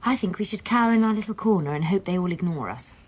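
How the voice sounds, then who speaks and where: A woman's voice: lowish voice, animated, fast, darkish tone, slightly rough. An actress playing a wry, uneasy woman in a period radio drama, speaking in a dry, pensive way.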